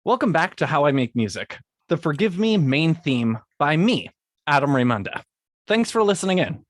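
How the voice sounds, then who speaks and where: A man's voice: on the high side, very animated, moderate pace, dry recording, clean studio sound. A man, a guest composer, reading scripted lines for a podcast and stopping to redo them. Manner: reads scripted lines, then breaks off to restart them. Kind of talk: recording a podcast segment with retakes.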